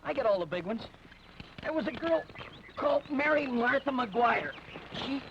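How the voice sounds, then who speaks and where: A man's voice: extremely high pitch, expressive, moderate pace, gravelly, a bit bright, heavily acted. An actor playing a hapless, talkative young greenhorn who rambles and jokes at his own expense. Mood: joking. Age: young adult. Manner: rambling, joking, laughs at himself. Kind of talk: 1950s western film dialogue. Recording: dull old film soundtrack with hiss.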